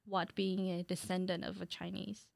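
A young adult woman in a casual chat, speaking in a jokey, animated way and swearing freely. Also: on the low side, normal speed, gravelly, no room sound.

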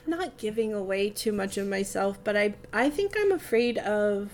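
A woman's voice: mid-range voice, animated, normal speed, slight background noise. A woman speaking in a casual, reflective way as a podcast co-host, thoughtfully searching for her words.

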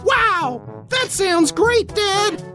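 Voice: very high voice, animated, extremely slow, pushed and bright, soft background music, full character voice. A performer voicing a childlike, earnest young boy in a parody retro radio ad. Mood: amazed, eager. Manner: childlike, gee-whiz earnestness. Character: bored, wide-eyed young boy talking to Dad.

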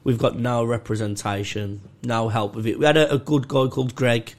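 A man's voice: mid-range voice, steady tone, moderate pace. A young man, a comedian in a casual podcast chat, talking in sweary, rambling stretches full of restarts and tag questions. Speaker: comedian guest.

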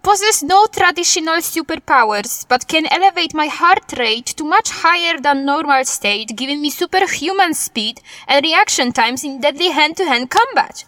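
Casting: haughty lethal superheroine, high forceful voice, formal boasting, audio comedy. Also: female voice, expressive, normal speed, few pauses, no room sound. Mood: boastful. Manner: boastful, formal, clipped foreign-style grammar dropping articles. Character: proud, deadly female martial-arts superhero. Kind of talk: comedic audio drama acting.

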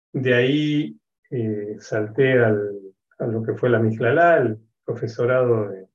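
A man in his sixties from Argentina with an Argentine accent, speaking as an interview guest on a video call from his home office, in a measured, reflective way with many hesitations. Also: medium pitch, darkish tone, lo-fi sound. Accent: Argentine Spanish. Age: 60s. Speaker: guest, education expert. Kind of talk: interview conversation.

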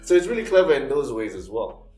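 Fast-talking Kenyan man, roomy sound. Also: medium pitch, very animated, a little mellow, faint music behind. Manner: quick, jokey, jumps between ideas. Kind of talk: casual podcast chat.

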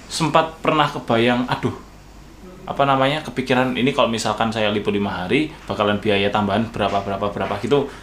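A man from outside the capital with a thick Javanese-tinged Indonesian accent, chatting casually and thoughtfully, often pausing on fillers. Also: on the low side, fairly bright tone. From Indonesian regions outside Jakarta. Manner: thoughtful, hesitant, many fillers. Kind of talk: casual chat. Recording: faint background noise.

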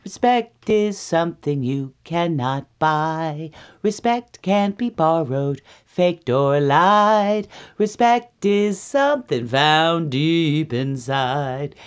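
A woman's voice: on the high side, big pitch swings, takes it slowly, hardly any pauses, voice pushed up, heavily acted. Storybook bear cub girl, played by a woman: earnest, preachy, emphatic, with swooping melody. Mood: preachy, sing-song.

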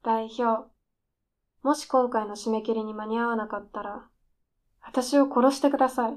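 A woman's voice: on the high side, fairly even intonation, normal speed, lightly acted. A woman playing a desperate, defiant aspiring scriptwriter who needles in polite speech, in a radio drama. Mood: desperate, resolute. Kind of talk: radio drama dialogue acting.

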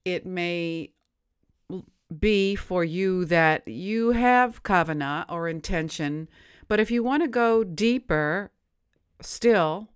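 A woman hosting a live-streamed devotional show, speaking in a gentle, unhurried way as she teaches and reads aloud. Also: on the low side, clean tone. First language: English. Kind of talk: slow, deliberate teaching and reading aloud.

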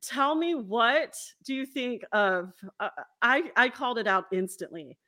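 A woman in her forties hosting a casual, profane chat, talking in a giggly, rambling, excitable way. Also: a little high, measured pace. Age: 40s. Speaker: interview show host.

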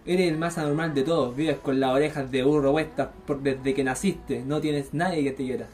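A man's voice: fairly high pitch, fairly even intonation, moderate pace, darkish tone, close to an everyday voice. A young Chilean man acting a needy, boastful, troubled teenage boy in a radio theatre piece. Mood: defiant. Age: young adult. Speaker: student performer.

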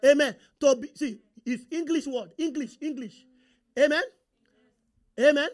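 A man with a Nigerian accent, Yoruba his first language, preaching a sermon. He talks in a fairly high voice and keeps throwing questions at the congregation, prompting them to answer and confirm they are following. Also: unhurried. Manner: call-and-response, rhetorical questions to the congregation.

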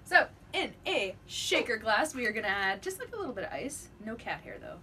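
A woman's voice: a little high, sing-song, a little fast, sharp tone. A woman co-hosting a drink-making show in casual, playful chat, jokey and free with swearing. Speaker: co-host of a cocktail show. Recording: distant mic with background noise.

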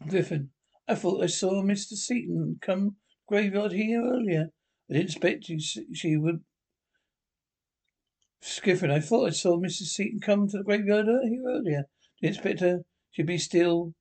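A husky-voiced reader playing a cemetery caretaker, who talks in a halting, hesitant way. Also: mid-range voice, a little mellow, natural voice. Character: caretaker of an old cemetery, uneasy.

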